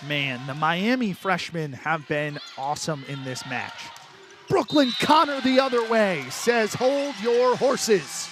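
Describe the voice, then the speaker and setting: A man's voice: high voice, slow, background noise. A man doing live sports play-by-play as a commentator, over the sound of the venue.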